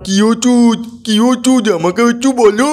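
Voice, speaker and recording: A man's voice: very high voice, measured pace, a little mellow, faint music behind. Teasing gossipy cartoon neighbor, voiced by a man. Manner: teasing, chuckling. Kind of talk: cartoon voice acting.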